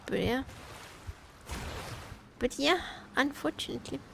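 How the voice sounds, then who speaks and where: A woman streamer giving casual live commentary on a game, expressive and playfully complaining, often repeating her phrases. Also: sits high, unhurried, creaky. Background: game music.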